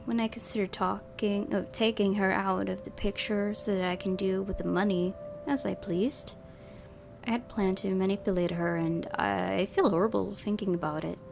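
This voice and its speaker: A woman's voice: mid-range voice, creaky, darkish tone. Woman reading a visual novel aloud, expressively. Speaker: gaming video host. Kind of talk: dramatic read-aloud of visual novel narration. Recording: music behind the voice.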